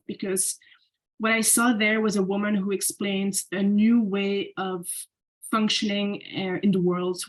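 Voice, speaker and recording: A woman's voice: medium pitch, rough recording. A young woman from Belgium giving a slide presentation as a podcast guest, talking casually with lots of "like" fillers and restarts. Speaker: guest speaker.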